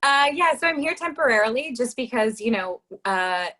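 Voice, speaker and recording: A young woman from Orange County, a nutritionist who is a guest on a live online interview, bubbly and quick to laugh. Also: a little high, expressive, bright, forceful tone. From Orange County, California. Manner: bubbly, giggly, enthusiastic. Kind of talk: livestream interview.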